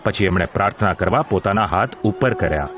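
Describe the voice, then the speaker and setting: A man's voice: low voice, expressive, a little fast, background noise. A man narrating a gospel audio drama in a formal, solemn storytelling style.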